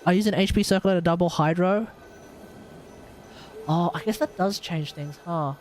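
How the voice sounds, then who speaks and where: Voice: medium pitch, normal speed, darkish tone, a little hiss or hum. A game streamer chatting casually and rambling through builds in an incredulous, sweary way. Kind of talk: casual livestream chat about game builds.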